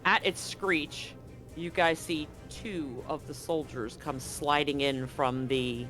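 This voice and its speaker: A game master running a live tabletop horror game, joking with players and slowing down to narrate scenes. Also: mid-range voice, faint music behind. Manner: relaxed, joking, slows for vivid scene narration. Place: home setup, streamed live.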